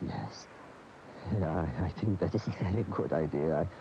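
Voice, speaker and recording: An actor playing a haunted former bullfighter turned priest in a radio drama, earnest and halting in his speech. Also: sits low, sing-song, creaky, background noise, heavily acted. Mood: hesitant. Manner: halting, stammering, brooding. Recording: dull 1980 AM radio recording, music behind.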